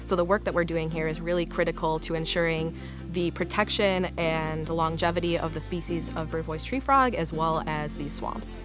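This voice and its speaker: A woman's voice: sits low, normal speed. A woman in her thirties explaining her field research in an earnest, enthusiastic way, as in a documentary interview. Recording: music bed under voice.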